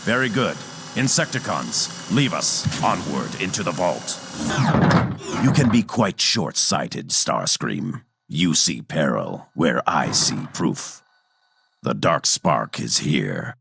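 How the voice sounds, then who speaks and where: A man playing a cold, calculating robot villain in a video game, haughty and measured, with a gravelly voice and formal delivery. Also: big pitch swings, heavy background noise, exaggerated voice. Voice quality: very deep, gravelly. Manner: measured, clinical, formal, condescending.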